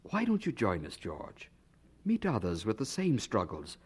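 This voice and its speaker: A man's voice: fairly low pitch, wildly swooping pitch, a little slow, husky, heavily acted. An actor playing a kindly, eager young churchman in a radio drama, earnest and probing, with a slight stammer. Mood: encouraging, earnest.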